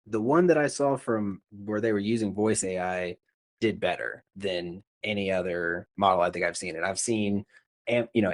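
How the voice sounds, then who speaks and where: Low-voiced man hosting a casual podcast. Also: no room sound. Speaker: podcast host. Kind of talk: casual interview chat.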